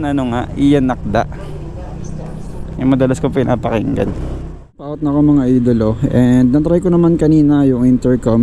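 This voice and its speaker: Filipino male motovlogger, conversational code-switching delivery, mid pitch, outdoor traffic noise behind. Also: creaky, dark tone. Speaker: motovlogger host. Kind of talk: casual vlog chat to viewers with English mixed in.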